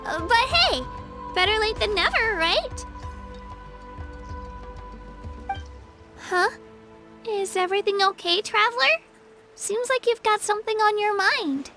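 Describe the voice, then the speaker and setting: A woman's voice: very high-pitched, expressive, a little slow, over music, heavily acted. A woman voicing an upbeat, friendly young woman who works as a helpful guide in a video game, chatty and explanatory. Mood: cheerful, caring.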